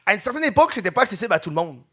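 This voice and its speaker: A young adult man with a Quebec French accent hosts a live comedy podcast. He chats casually, laughs often and acts out quotes in loud mock voices. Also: high-pitched, sing-song, racing. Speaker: podcast host. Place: venue with a live audience.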